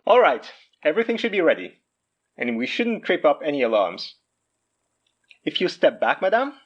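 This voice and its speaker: A man playing a smooth, hammy mystic guru in an audio drama, with grandiose, theatrical delivery. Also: a little high, sing-song, moderate pace, highs cut off. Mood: showy, obliging. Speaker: self-styled guru and herb seller. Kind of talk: audio fiction podcast acting.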